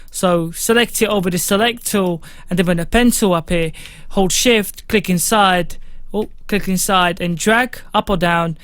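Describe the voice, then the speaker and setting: A young man with a fairly high voice, giving a casual, instructive music-production tutorial from a small home studio, with many fillers. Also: fairly bright tone, clean tone, dry recording. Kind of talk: screen-recorded software tutorial.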